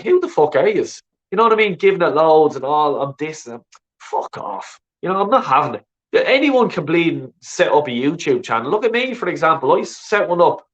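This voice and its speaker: A man from Ireland with a Dublin accent, hosting a call-in show in a rambling, sweary casual chat full of "you know what I mean". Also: on the high side. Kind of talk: casual chat reading viewer comments. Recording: dull lo-fi sound.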